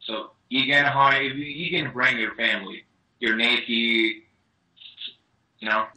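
A man's voice: mid-range voice, little pitch movement, unhurried, sharp tone, rough recording. A man speaking earnestly over a video call, often saying you know. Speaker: caller.

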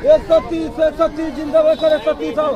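A man's voice: extremely high pitch, completely flat delivery, a little fast, dull audio. A man, a fish seller calling out prices repeatedly at an outdoor village market. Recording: noisy crowded outdoor market. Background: loud crowd and street noise.

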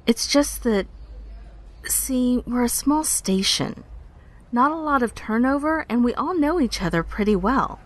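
A woman playing an apologetic, self-conscious young park ranger who speaks haltingly in a naturalistic podcast drama. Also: a little high, lively intonation. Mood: defensive, anxious. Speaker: actor in audio drama.

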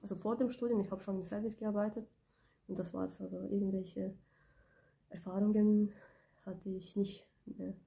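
Woman in her 20s, got a cold, talks soft with lots of ums, a bit gravelly, into her phone. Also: mid-range voice, flat delivery, normal speed, long pauses. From Ukraine. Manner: soft-spoken, hesitant, many fillers. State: has a cold. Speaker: beauty business owner. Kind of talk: casual personal vlog. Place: small indoor room, plain wall. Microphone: phone camera close up.

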